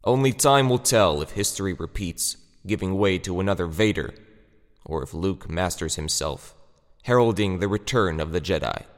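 A man's voice: low voice, expressive, moderate pace. A man reading dramatic scripted narration for an audio drama. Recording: long reverb over music.

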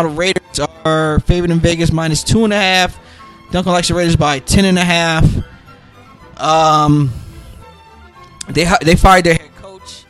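Raspy, under-the-weather man podcasting. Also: fairly high pitch. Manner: loose, rambling, many fillers and restarts. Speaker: podcast host making football picks. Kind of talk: casual solo podcast.

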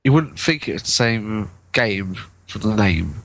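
A man's voice: fairly low pitch, animated, unhurried, vocal fry, fairly bright tone. A man co-hosting a casual chat, speaking in a tentative, hesitant manner. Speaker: podcast co-host.

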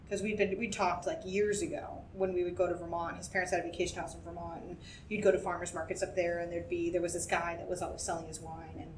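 A chatty woman from New Hampshire tells stories in a giggly, relaxed way, her voice on the lower side. She sounds set back from the mic in an echoey room. A clear rumble of noise sits underneath. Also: distant mic. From New Hampshire, USA. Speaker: taproom co-owner. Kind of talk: casual interview chat.

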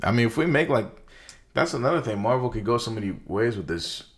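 A young man co-hosting a podcast, talking loosely about movies in slangy, animated casual chat with lots of fillers. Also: mid-range voice, quick pace. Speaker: podcast co-host.

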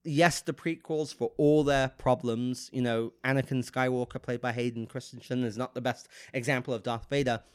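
A man co-hosting a podcast in casual, enthusiastic chat, his voice nasal and rough from a flu. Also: mid-range voice, normal speed, hardly any pauses, dry recording, clean studio sound. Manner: enthusiastic, animated fan talk. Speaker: podcast co-host.

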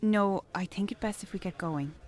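A woman's voice: mid-range voice, moderate pace, close to an everyday voice. An actress playing a polite, uneasy woman in a naturalistic radio drama, courteous, hesitant and slightly formal.

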